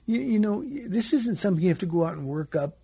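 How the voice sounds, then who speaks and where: An older man with a soft, gravelly voice and a dark, mellow tone. He chats as a pastor on a radio show, gently teaching in an easy, conversational way. His voice sounds slightly husky. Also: sits high, very animated, fast talker. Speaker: pastor, co-host.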